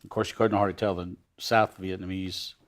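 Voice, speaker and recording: A man in his sixties hosting a TV studio interview, asking leading questions in a halting way with many pauses. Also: sits low, flat delivery. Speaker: host.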